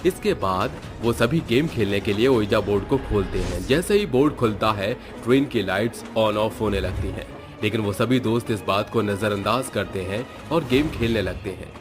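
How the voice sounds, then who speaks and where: A man's voice: on the low side, normal speed. A man narrating a scripted horror story as its storyteller. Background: faint background music.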